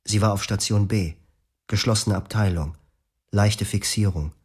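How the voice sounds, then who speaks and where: Grave, low-voiced male narrator. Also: unhurried, a little mellow, breathy edge. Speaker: first-person narrator of a radio drama. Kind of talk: scripted first-person narration.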